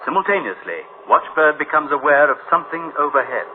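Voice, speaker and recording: A man's voice: fairly high pitch, lively intonation, fairly quick, lightly acted. An actor playing a solemn male narrator of a science-fiction radio tale, clipped and ominous in delivery. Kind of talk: dramatic scripted radio narration. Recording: tinny radio recording, loud dramatic music.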